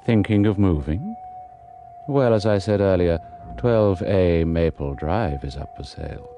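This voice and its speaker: An actor playing a sinister, wry British storyteller, narrating radio horror with deliberate dramatic pauses and dry relish. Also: male voice, low voice, lively intonation, dark tone, lo-fi sound, soft background music. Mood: dryly sly.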